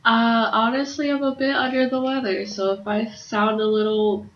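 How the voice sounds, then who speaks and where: A woman co-hosting a casual podcast, hoarse from a cold and sounding tired and subdued. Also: fairly high pitch, steady tone, moderate pace. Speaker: podcast co-host. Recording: echoey room, dull lo-fi sound.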